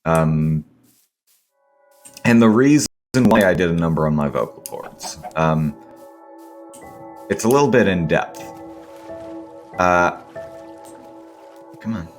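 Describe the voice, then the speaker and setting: A man with a hoarse, scratchy voice from a sore throat, rambling with many ums and self-corrections as he comments on his gameplay. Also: deep voice, expressive, slow, long pauses, faint music behind. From Seattle area, US. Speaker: gaming video host.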